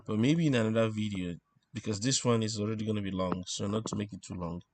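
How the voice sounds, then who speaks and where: A man giving an informal technical lesson as he draws, explaining step by step in a halting way and thinking aloud. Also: lowish voice, background noise. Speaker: instructor presenting an electronics tutorial. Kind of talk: informal technical lesson, talking while drawing.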